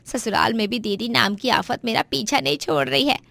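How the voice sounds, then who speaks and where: A woman's voice: on the high side, moderate pace, creaky, sharp tone, no echo. Woman acting a scheming, spiteful young daughter-in-law. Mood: resentful. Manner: plotting, gloating. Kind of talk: Hindi moral story voice acting.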